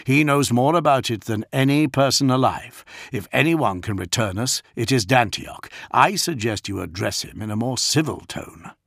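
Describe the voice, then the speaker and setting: A man voicing a weary male commander who reasons in a measured, firm manner. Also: medium pitch, expressive, creaky, fairly bright tone, dry recording, studio quality, pitched above the performer's own voice.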